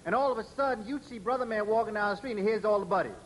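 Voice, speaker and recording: A male educator addresses an audience in a slightly high voice. He paces his speech deliberately, pausing and stressing words to keep control of it, and sometimes spells a word out letter by letter. Faint ambient noise sits under the voice. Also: low-quality recording. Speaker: educator giving a lecture. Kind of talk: public lecture to an audience.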